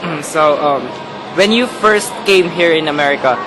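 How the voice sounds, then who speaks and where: A man asking oral history interview questions in a hesitant, halting way with many ums. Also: a little high. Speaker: student interviewer. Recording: noisy background.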